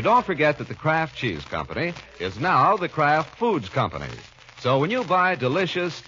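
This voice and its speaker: A man working as a radio announcer, reading ads and narration in polished 1940s style with deliberate emphasis. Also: medium pitch, fairly bright tone. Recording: muffled vintage radio, faint hiss.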